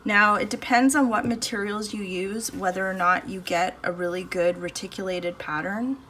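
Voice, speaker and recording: Woman, casual art vlogger, relaxed chatty manner, mid-pitched voice, phone recording, faint hum of traffic and fridge behind. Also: normal speed. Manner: chatty and relaxed, thinking aloud while painting. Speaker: art channel host. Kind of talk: casual painting demo chat. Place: home art studio, indoors. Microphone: phone camera above the table, no external mic. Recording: phone audio with faint background noise. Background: faint street and household noise.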